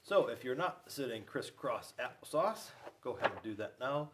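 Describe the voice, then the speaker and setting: A man reads aloud for young children in a playful, animated style. His medium-pitched voice rises and falls widely as he talks directly to his listeners. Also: normal speed, heavy background noise. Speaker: school resource officer reading to children.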